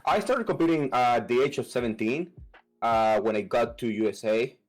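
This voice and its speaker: A young man from Venezuela with a Venezuelan Spanish accent, answering interview questions about his gaming in a halting way, with many fillers and restarts. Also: mid-range voice, expressive, faint music behind, poor audio. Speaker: competitive fighting game player.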